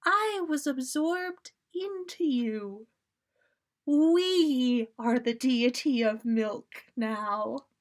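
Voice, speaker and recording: A woman's voice: sits high, animated, drawn-out words, voice pushed up, exaggerated voice. A woman voicing a serene, reassuring goddess with a soothing, solemn manner in a story reading. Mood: soothing, ominous.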